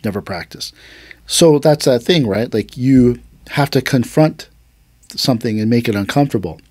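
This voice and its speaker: A man's voice: medium pitch, normal speed, darkish tone, no echo, studio quality. A man who teaches aikido, hosting a casual podcast talk and telling stories loosely with many fillers. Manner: loose storytelling with many fillers, acts out quotes.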